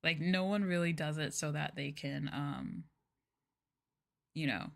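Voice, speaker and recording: A woman's voice: sits low, unhurried, creaky. A woman streaming as a VTuber, chatting casually in a rambling, giggly way with lots of filler words. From United States. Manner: giggly and playful.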